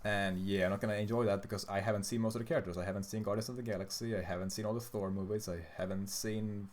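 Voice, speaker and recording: A man's voice: lowish voice, steady tone, fast, a little mellow. Tired, profane young Swedish man. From Sweden. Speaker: streamer answering chat questions. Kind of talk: casual livestream chat.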